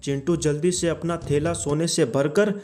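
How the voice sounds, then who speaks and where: A man narrating a children's cartoon story in a steady storytelling manner. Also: a little high, normal speed.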